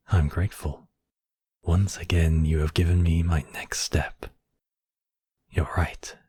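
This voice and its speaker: A man playing a commanding, manipulative high mage villain in an ASMR roleplay, deliberate and imperious, with a breathy, husky voice. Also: warm tone, no echo, studio quality, heavily acted. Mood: soft, menacing. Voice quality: breathy, husky, often dropping to whispers. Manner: imperious, deliberate, addressing listener as apprentice. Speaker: voice actor.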